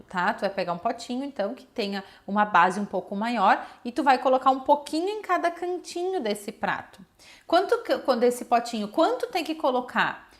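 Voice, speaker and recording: A woman with a southern Brazilian accent talks viewers through practical advice. Her voice sits on the higher side. She is informal and conversational, often tagging her sentences with small checks for understanding. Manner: conversational, frequent checking tags and diminutives. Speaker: children's nutritionist giving advice. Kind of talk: casual explanatory talk to viewers.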